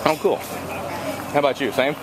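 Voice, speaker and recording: A man hosting a casual vlog outdoors on a mountain walkway, upbeat and quick to say how great things are. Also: fairly high pitch, steady tone, very noisy. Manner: upbeat, says "awesome" often. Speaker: vlog host interviewing conference attendees. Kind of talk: casual on-the-go interviewing.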